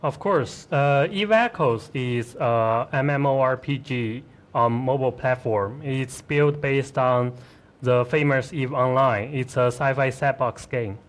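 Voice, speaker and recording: A man speaking as a game designer on a live stream, answering in careful, halting English. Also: mid-range voice.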